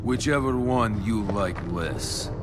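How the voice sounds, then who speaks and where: A man's voice: fairly low pitch, slow. A man playing a bitter, defiant ex-enemy soldier in a military video game, with a sardonic manner. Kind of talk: military game cutscene acting and narration. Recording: music behind the voice.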